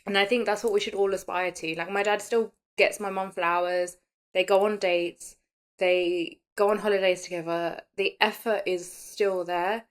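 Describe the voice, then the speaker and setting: A woman's voice: mid-range voice, fairly even intonation, normal speed. A woman in her late thirties talking casually and candidly as the host of a personal podcast, in a chatty, conversational way. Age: late 30s.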